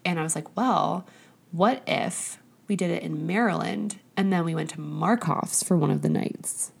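Young American woman with a creaky, raspy voice. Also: lowish voice, normal speed. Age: young adult. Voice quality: slightly raspy. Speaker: podcast co-host. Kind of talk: casual chat. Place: home studio in a bedroom.

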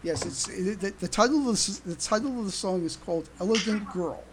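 A podcast host chatting casually in a halting, stammering way, often repeating words. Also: medium pitch, crowd noise. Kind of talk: casual panel discussion. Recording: noticeable background noise.

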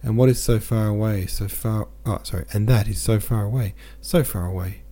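Give me a man voicing a sad young woman in an audiobook, low and soft. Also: a little fast. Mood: tearful. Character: sad young woman shop girl, tearful and pleading.

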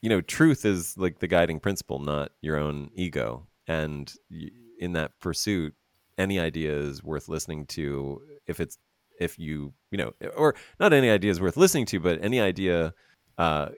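A man's voice: extremely low pitch, lively intonation, moderate pace, smooth, no room sound, clean studio sound. A man hosting an interview podcast, chatting casually, teasing his guest and laughing often. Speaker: podcast host.

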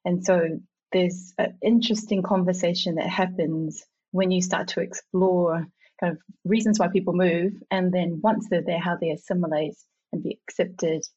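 Husky-voiced woman, reflective interview guest. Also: fairly low pitch, fairly even intonation, moderate pace, mellow. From Samoa. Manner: reflective, laughs often, some restarts. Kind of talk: conversational interview. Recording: dull lo-fi sound.

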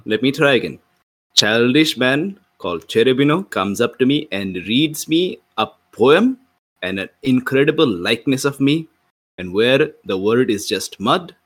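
A man's voice: mid-range voice, expressive, moderate pace. A man chatting casually as a player in a tabletop role-playing game, improvising as he goes.

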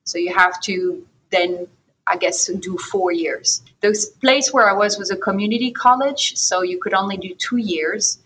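A woman's voice: lowish voice, fairly bright tone, a little hiss or hum, low-quality recording. A native French-speaking woman from France with a French accent, talking casually as an interview guest.

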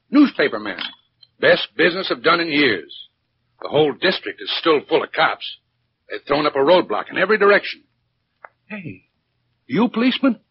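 Folksy, gossipy American storekeeper, higher lively delivery, vintage radio drama with light hiss. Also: male voice. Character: chatty, folksy small-town filling station owner. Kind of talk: 1940s radio drama acting. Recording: muffled, noisy 1940s radio recording.

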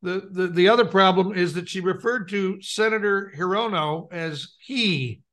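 A man's voice: sits high, unhurried, fairly bright tone. A man co-hosting a radio show, bantering casually and reading out offbeat news.

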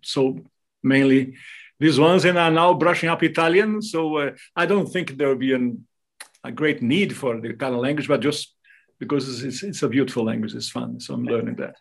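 A man's voice: medium pitch, moderate pace, gravelly. A man from Brazil, a native Portuguese speaker with a Brazilian accent, explaining things as a guest speaker in an interview. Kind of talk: interview talk, explaining devotional topics.

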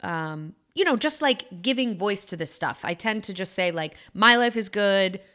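A woman's voice: medium pitch, animated, normal speed, bright, forceful tone. A woman in her thirties co-hosting a podcast, talking in a musing way with many fillers and restarts. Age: 30s. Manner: musing, frequent fillers and self-corrections. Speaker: podcast co-host, acupuncturist. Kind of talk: casual chat.

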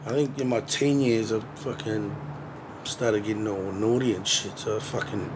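A man's voice: lowish voice, fairly even intonation, moderate pace. Swearing, easygoing New man. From New Zealand. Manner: loose, swears constantly, laughs easily. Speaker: musician guest. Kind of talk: casual interview chat. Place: balcony outdoors. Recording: outdoor balcony with background noise.

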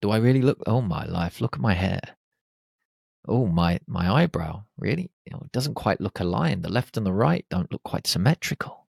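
A man hosting his own podcast, talking alone in a lively, animated way with plenty of exclamations. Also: lowish voice, moderate pace, gravelly, slightly rough, dry recording, clean studio sound. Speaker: podcast host. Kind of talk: solo podcast monologue. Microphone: podcast microphone.

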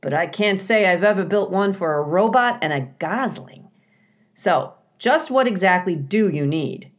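A woman voicing a folksy, bossy but kindly male beaver builder in a children's storybook, chatty and gruff in manner. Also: mid-range voice, animated, unhurried, pitched above the performer's own voice, natural voice. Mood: brisk, businesslike.

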